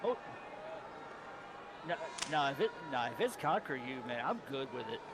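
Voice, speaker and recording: A tired man co-commentating sumo casually, with dry, deadpan humor. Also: medium pitch, measured pace, background noise.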